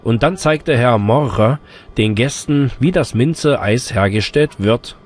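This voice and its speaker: Male news narrator, steady scripted read, normal pitch. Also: takes it slowly.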